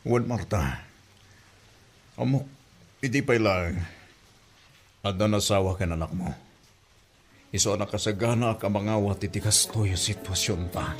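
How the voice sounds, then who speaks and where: A man's voice: lowish voice, measured pace, long pauses, soft background music, close to an everyday voice. A man with an Ilocano accent playing an earnest, devoted secret lover in an audio drama. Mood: tender, pleading.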